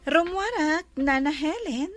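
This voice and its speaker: A spoiled, lonely young heiress in a radio drama. Her voice sits very high and swings widely in pitch, with the pampered air of someone who runs a mansion. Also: lively intonation, measured pace, fairly bright tone, faint music behind. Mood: bossy, breezy. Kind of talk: Ilocano radio drama acting.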